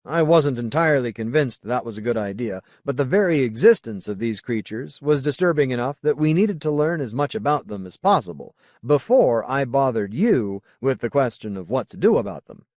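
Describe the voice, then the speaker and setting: A man voicing a solemn, zealous colony leader in an audiobook, speaking in a formal, deliberate, oratorical way. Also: medium pitch, animated, a little mellow, no echo, clean studio sound, pitched above the performer's own voice, close to an everyday voice. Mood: explanatory, firm. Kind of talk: audiobook character dialogue.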